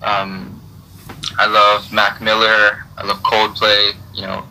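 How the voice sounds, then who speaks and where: A young man answering interview questions on a video call, relaxed and hesitant with many fillers. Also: on the low side, slow pace, a little hiss or hum, poor audio. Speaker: guest musician. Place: indoor room.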